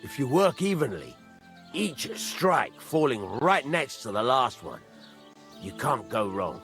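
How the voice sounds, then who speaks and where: Gruff master smith for a medieval game: demanding, instructive, forceful and highly animated, over music. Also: male voice, slow pace, heavily acted. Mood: approving, instructive. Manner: instructive, blunt, swears mildly. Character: gruff, demanding village master blacksmith teaching a newcomer. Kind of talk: video game dialogue acting.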